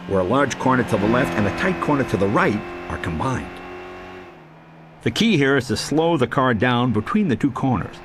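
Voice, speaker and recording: A man reading scripted instructional narration for a racing video game tutorial, in an explanatory manner. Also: medium pitch. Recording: engine and race car noise throughout. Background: loud race car engines.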